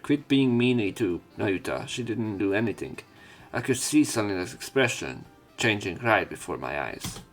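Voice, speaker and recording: A man's voice: fairly low pitch, lively intonation, moderate pace. A man reading visual novel text aloud as a let's play narrator, with casual, irreverent and sometimes sweary commentary. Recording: game music behind the voice.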